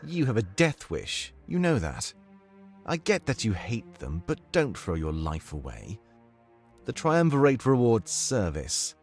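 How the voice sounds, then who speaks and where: A man's voice: on the low side, huge pitch swings, measured pace, exaggerated voice. A man voicing a stern, scheming master who cautions his apprentice in a visual novel. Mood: cautioning. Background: game music.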